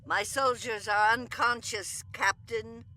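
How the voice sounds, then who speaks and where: A woman's voice: fairly high pitch, steady tone, takes it slowly, sharp tone, a little hiss or hum. A woman playing a proud, haughty Romulan commander in a sci-fi audio drama, curt and commanding.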